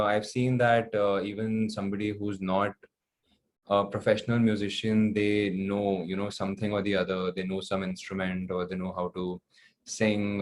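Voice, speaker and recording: Low-voiced young Indian man, casual interviewee. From New Delhi, India. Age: young adult. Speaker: guest, singer-songwriter.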